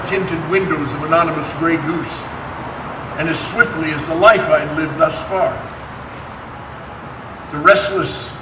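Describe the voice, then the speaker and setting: High-pitched man reading dramatically, roomy sound. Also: noisy background. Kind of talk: dramatic stage reading of prisoners' testimony. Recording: roomy distant recording with music underneath.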